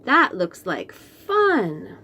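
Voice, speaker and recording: A woman reading aloud, voicing a cheerful young cat in a bright, upbeat storybook way. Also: on the high side, huge pitch swings, drawn-out words, full character voice. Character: cheerful cartoon cat boy, easygoing.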